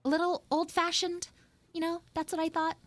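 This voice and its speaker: A woman voicing a sassy, flustered high school tsundere frog girl in a casual, blunt, rambling live audio roleplay. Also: little pitch movement, normal speed, dry recording. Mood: indignant, snarky. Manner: blunt, snarky, swearing, rambling asides.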